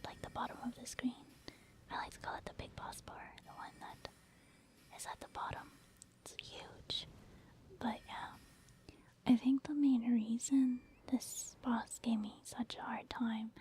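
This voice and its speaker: A woman giving soft-spoken ASMR game commentary in a hushed, breathy near-whisper, explaining things gently and casually. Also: on the high side. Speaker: ASMR gaming video host.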